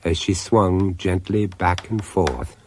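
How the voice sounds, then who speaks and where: A man narrating a radio horror drama as an urbane storyteller, deliberate and theatrical, pausing to savour each phrase. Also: sits low, darkish tone, slightly rough, no room sound, heavily acted. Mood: ominous, savouring.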